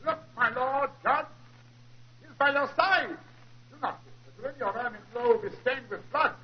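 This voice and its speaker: A man's voice: very high-pitched, moderate pace, crowd noise. An actor playing a crazed, guilt-ridden male villain, declaiming in the overwrought style of 1940s radio melodrama. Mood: haunted, declaiming. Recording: muffled 1940s radio recording.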